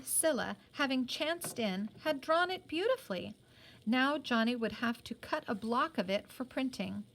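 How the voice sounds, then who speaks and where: A woman's voice: on the high side, a bit bright. A woman reading a story aloud in a careful, teacherly way, with occasional explanatory asides. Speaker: reader of a children's novel aloud.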